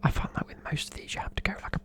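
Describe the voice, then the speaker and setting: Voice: racing, a bit bright. A person with a British accent whispering informal, chatty, matey explanations in an ASMR style. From United Kingdom. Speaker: presenter explaining slang.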